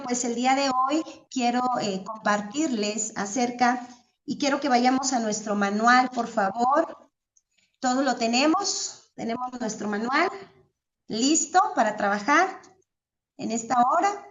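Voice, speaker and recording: A woman with a fairly high voice leads a church class over a video call. She is courteous and devout, addressing her students and drawing them in. The audio is somewhat dull and lo-fi. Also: fairly bright tone. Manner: courteous, devout, encouraging participation. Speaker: teacher leading an online church class.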